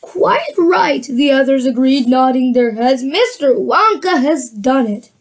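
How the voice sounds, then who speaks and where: A woman's voice: sits high, lively intonation, unhurried. A woman reading a children's book aloud, voicing the characters and breaking off for chatty asides and restarts.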